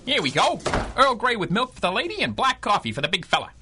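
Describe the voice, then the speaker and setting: An actor playing a middle-aged, wisecracking 1930s crime reporter with a slangy, wry delivery in a radio drama. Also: male voice, high-pitched, big pitch swings, quick pace, over music. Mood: wry, animated.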